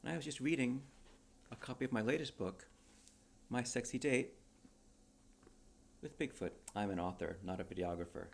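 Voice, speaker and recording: A man who is an author reading his own short story aloud in a dramatic storybook style, with a mellow voice. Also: medium pitch, normal speed, vocal fry, pauses often.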